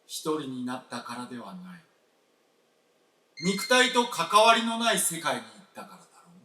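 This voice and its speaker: A man's voice: a little high, huge pitch swings, normal speed, pushed and bright, full character voice. A man playing a self-doubting, blunt and resigned husband in a radio drama, with terse, old-fashioned masculine speech. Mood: insistent. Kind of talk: staged radio drama acting. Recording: echoey stage, distant mic.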